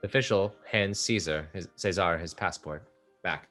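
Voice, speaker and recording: A man reads the stage directions of a play in a steady, measured way. His voice is very low, and faint music plays underneath. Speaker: narrator reading stage directions. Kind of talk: play table read narration.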